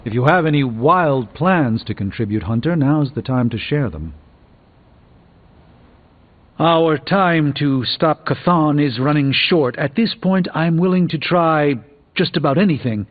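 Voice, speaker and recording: An actor playing a middle-aged, scholarly sorcerer superhero in a video game, speaking in an articulate, wry, formal manner. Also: male voice, a little high, expressive, a little slow. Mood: grave, urgent. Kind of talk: video game character dialogue. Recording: thin phone-like audio, music behind.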